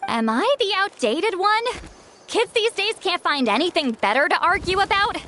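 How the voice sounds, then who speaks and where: A woman voicing a proud, sharp-tongued female shaman who acts like a grumpy elder in a video game. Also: very high-pitched, very animated, normal speed, soft background music, exaggerated voice. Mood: scheming. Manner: haughty, teasing, self-assured. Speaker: game character.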